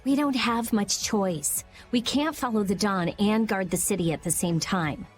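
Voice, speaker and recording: A woman's voice: medium pitch, a little slow, lightly acted. An actress playing a cool-headed, caring young woman adventurer in a video game, with a frank delivery. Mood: matter-of-fact. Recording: music under the voice.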